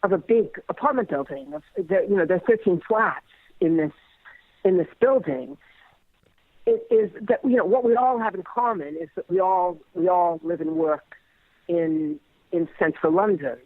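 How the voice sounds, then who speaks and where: A man with a fairly high voice talks reflectively in an interview. He is hesitant, doubling back on words, and the sound is dull and dry. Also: moderate pace, no room sound. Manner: hesitant, repeats words while searching. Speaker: playwright interviewee.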